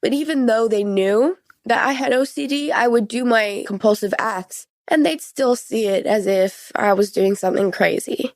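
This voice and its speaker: A woman's voice: fairly high pitch, normal speed, hardly any pauses, no echo. A young woman in her late teens talking frankly and informally about her own experiences as an interview guest, often saying "like". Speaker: podcast guest. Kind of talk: personal interview, recounting experiences.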